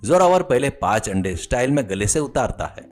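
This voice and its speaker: Man, storyteller, scripted narration, medium pitch, faint background music. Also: big pitch swings, fairly quick.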